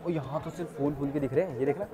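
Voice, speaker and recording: Young man vlogging in loud crowds. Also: fairly high pitch, rapid-fire. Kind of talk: casual vlog chat to viewers. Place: crowded outdoor street market. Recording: loud outdoor market crowd noise. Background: bustling crowd and street sounds.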